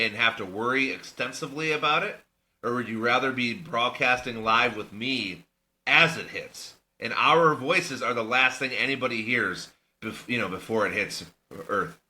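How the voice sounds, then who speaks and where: Man, podcast co-host, mid pitch, very bright tone, rambling easygoing chatter. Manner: easygoing, rambling, laughs often. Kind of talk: casual banter.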